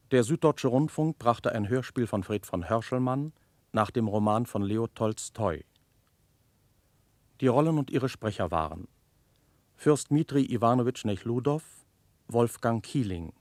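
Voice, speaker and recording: A male radio announcer reads in a formal 1960s broadcast manner. His pace is slow and measured, in a very dry studio sound. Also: medium pitch, a little mellow, dry recording.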